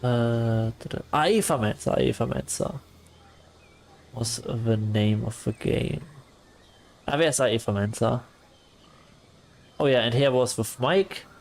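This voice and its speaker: A man streaming live and chatting casually with his viewers, soft and calm, often pausing on fillers. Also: mid-range voice, gravelly. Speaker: livestreamer.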